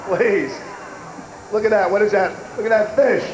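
A middle-aged man co-hosting a festival stage with a handheld mic, hoarse and losing his voice, hyping the crowd with rowdy, profane shouts. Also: sits high, moderate pace, background noise. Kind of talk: crowd hype banter. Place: outdoor festival stage before a huge crowd. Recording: echoey outdoor PA with loud crowd.

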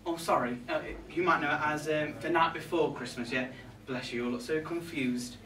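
A man's voice: fairly high pitch, steady tone, brisk, bright, forceful tone, far from the mic, soft background music. A young man playing a catty, resentful reindeer in a comic monologue, indignant and gossipy, with arch asides to a live audience. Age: young adult. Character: catty, resentful male reindeer grumbling about Santa's crew. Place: small pub room with a live audience. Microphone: far camera. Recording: echoey room from afar, background noise.

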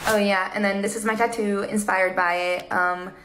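A woman's voice: medium pitch, little pitch movement, moderate pace, fairly bright tone. A young woman in her twenties from Brazil, speaking English with a Brazilian accent, eagerly recommending a book to her phone camera. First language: Portuguese. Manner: enthusiastic, earnest. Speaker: content creator. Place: indoor room. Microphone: phone camera at arm's length. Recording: echoey room.

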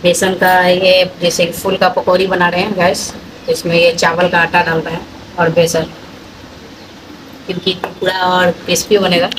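Flat-toned woman explaining her cooking. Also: fairly low pitch, moderate pace. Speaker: home cook. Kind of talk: casual explaining while cooking. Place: small home kitchen indoors. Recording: noisy home phone recording.

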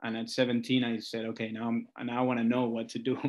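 A man from Argentina speaks as a musician guest in an interview. His pitch is medium for a man. He talks informally, in a loose storytelling way, with frequent little conversational asides. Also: completely flat delivery, quick pace, a little mellow. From Argentina, Buenos Aires. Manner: informal, talks in stories, often says "like" and "you know". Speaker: guest musician, singer-songwriter. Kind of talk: casual interview chat.

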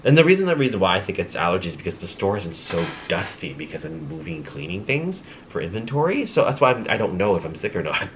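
A man's voice: sits low, big pitch swings, fast, few pauses, noisy background. A young man chatting casually to his camera at home at night, rambling with lots of ums, sounding congested and tired. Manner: rambling, chatty, with light laughs and many ums. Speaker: vlogger. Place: small home room at night.

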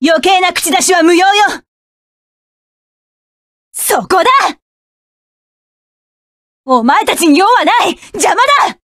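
A woman's voice: very high voice, expressive, takes it slowly, long pauses, dry recording, studio quality, exaggerated voice. An actress voicing a fierce, cold young female mecha pilot in a game, giving curt, commanding battle lines. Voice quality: sharp, commanding.

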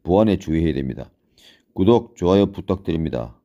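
An older man giving a step-by-step tutorial in a deliberate way, repeating phrases for beginners. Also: low voice, expressive, dark tone, dull audio. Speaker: smartphone tutorial instructor. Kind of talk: step-by-step screen tutorial, spoken off the cuff.

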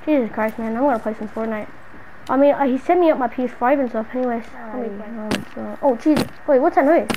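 A female gamer with a high voice improvises a role-play scene. The talk is slangy and exclamatory, played up for drama. There is light noise in the recording. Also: fast, warm tone, highs cut off. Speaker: gamer narrating a role-play short film. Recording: noisy home gaming recording.

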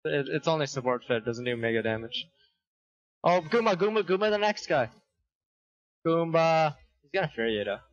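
Excitable man over muffled voice chat. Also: on the high side, moderate pace, pauses often, dry recording. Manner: excitable, loud outbursts, laughs. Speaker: teammate on voice chat. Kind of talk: casual gaming chat.

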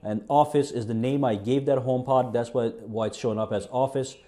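A man presents a casual how-to as a tech video host. His voice sits at a middle pitch and has a dark, mellow tone. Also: steady tone, a little fast. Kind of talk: casual narrated how-to demonstration.

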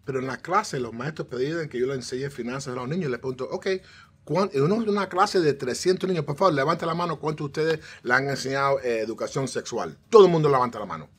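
Husky middle-aged man, animated studio guest. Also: medium pitch, quick pace, soft background music. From United States, of Cuban parents. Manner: animated, gestures a lot, frequent restarts and English "so". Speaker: guest, financial strategist. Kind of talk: casual interview talk. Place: TV studio set, seated on armchair.

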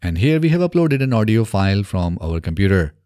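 A man in his thirties presenting a software tutorial step by step in a methodical way, recorded close on a desk microphone in a small home studio. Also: fairly low pitch, sing-song, brisk, no room sound. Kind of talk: scripted software tutorial narration. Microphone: close desk microphone on boom arm.

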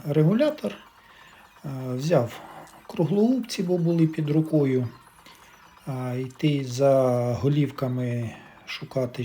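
A man giving a casual, step-by-step repair demonstration, thinking aloud in an unhurried, explanatory way. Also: medium pitch, mellow, slight background noise. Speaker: electronics repair hobbyist narrating.

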